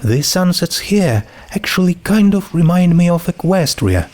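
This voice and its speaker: A man's voice: high-pitched, big pitch swings, normal speed, a little mellow, voice pushed up. A man voicing a friendly, homesick teenage girl in a storybook, talking in a casual, chatty way. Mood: wistful, fond.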